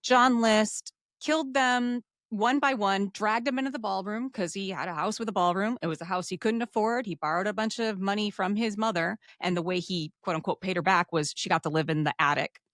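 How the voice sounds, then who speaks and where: A woman's voice: mid-range voice, fairly quick, few pauses, a bit bright, no room sound. Casual woman podcaster, dry close sound. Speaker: true crime podcast host. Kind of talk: casual conversational storytelling.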